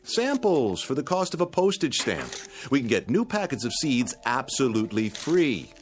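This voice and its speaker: An actor playing a mild, penny-pinching husband in a radio drama, genteel, fussy and wordy, often musing to himself. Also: male voice, mid-range voice, sing-song, normal speed, soft background music, lightly acted. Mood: eager, placating. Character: mild, penny-pinching husband, eager about free bargains.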